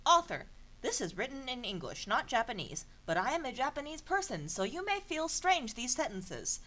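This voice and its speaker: Female amateur narrator, animated intonation, exasperated and sardonic, informal comedic read. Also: sits high, moderate pace. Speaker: narrator of a comedic fan fiction reading. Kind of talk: informal dramatic reading with asides.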